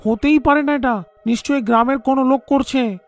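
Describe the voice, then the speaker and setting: A man playing a worried, earnest younger village man in an animated horror story. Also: very high voice, fairly quick, faint music behind.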